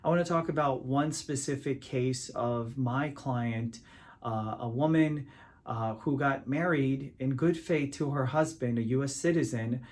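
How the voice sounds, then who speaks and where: A man from Pakistan, an immigration attorney, explaining legal protections to camera in a measured, deliberate way with frequent filler sounds. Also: medium pitch, a little mellow.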